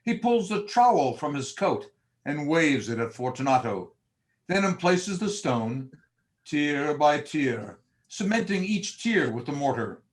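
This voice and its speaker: Animated man narrating gothic horror. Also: a little high, unhurried, low-quality recording.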